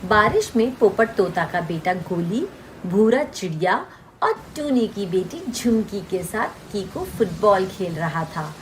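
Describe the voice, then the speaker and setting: A woman's voice: medium pitch, expressive, slow pace, a little hiss or hum, low-quality recording. A woman reading a scripted children's story as its narrator.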